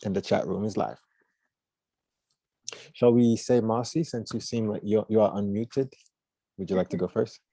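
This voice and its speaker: Man, creaky low voice, mellow tone, hesitant, frequent pauses, discussion moderator. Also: fairly even intonation, no room sound. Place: online video meeting.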